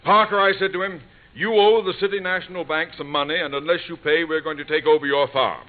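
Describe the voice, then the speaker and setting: An actor playing a proud, stubborn middle-aged husband and amateur gardener in a vintage radio sitcom, hesitant yet self-important. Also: male voice, on the high side, steady tone, quick pace, lightly acted. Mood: blustering. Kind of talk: 1950s radio sitcom acting. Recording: tinny vintage radio broadcast recording.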